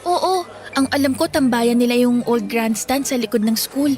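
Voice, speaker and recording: Woman doing pushy teasing filipina high school girl, high voice, radio drama, soft music behind. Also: normal speed, close to an everyday voice. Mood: pushy, excited. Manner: brash, teasing, insistent. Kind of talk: Tagalog radio drama acting. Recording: faint music bed.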